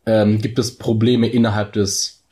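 A man's voice: lowish voice, flat delivery, a little slow, warm tone. A man in a casual discussion, explaining his points as a co-host and searching for his words. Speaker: co-host of a discussion about a TV series.

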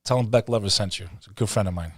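A man hosting a casual interview show, rambling and name-dropping friends with shout-outs. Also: on the low side, rapid-fire, no echo. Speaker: podcast host.

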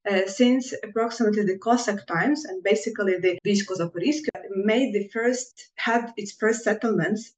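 Ukrainian-accented woman in an echoey room. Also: medium pitch. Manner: measured, careful, frequent "uh" hesitations. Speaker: guest professor. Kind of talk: academic explanation in an interview.

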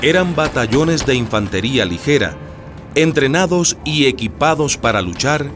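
A male actor as radio-drama narrator tells revolutionary history. His mid-pitched voice moves with lively intonation, and music plays clearly underneath. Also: normal speed, close to an everyday voice. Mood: narrating.

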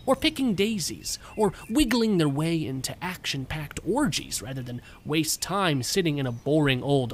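Male narrator for short fiction, somewhat high-pitched voice, scripted read. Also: slight background noise. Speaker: story reader.

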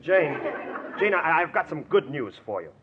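A man's voice: on the high side, sing-song, moderate pace, a crowd in the background, background noise. An actor playing a friendly businessman, the straight man in a 1940s radio comedy. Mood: genial. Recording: dull old radio recording.

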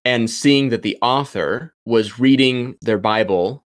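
A man's voice: mid-range voice, slow pace, no echo, studio quality. A young man, a doctoral student, talking as a podcast guest, thinking aloud with frequent ums and restarts. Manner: thoughtful, many ums and restarts. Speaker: podcast guest, PhD candidate. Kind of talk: casual interview chat.

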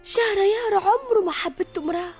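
A loyal young maidservant in a Tunisian radio drama, worried and protective. She pleads in a hushed, earnest voice pitched very high, with faint music behind her. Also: fairly quick.

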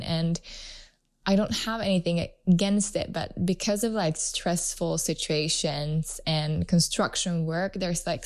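A woman's voice: on the low side, a little mellow. A woman hosting her own podcast, chatting casually and rambling with lots of "like" fillers. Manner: chatty and rambling, heavy use of "like". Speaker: podcast host. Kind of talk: casual solo podcast chat.

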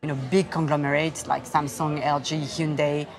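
A woman answers interview questions at an event, her voice sitting low for a woman. She talks in a measured, halting way with plenty of fillers. A busy crowd murmurs behind her throughout. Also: level tone. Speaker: investor, fund founder. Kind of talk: onstage interview.

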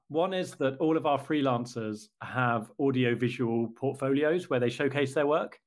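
A man answering interview questions about his company, in a measured, thoughtful way with occasional restarts. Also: mid-range voice. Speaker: company representative, guest.

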